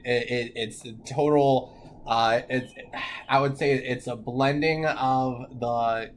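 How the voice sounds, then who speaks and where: Man, mid-pitched voice, casual podcast co-host, blunt, swears a lot, stammers and restarts, faint ambient hum. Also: steady tone, takes it slowly. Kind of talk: casual chat.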